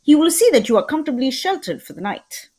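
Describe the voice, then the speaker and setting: A woman playing a fussy, courtly Belgian male detective in a radio mystery, speaking formally and deliberately, with theatrical precision. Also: high voice, big pitch swings, warm tone. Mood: precise, courteous. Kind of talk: old-time radio mystery script reading.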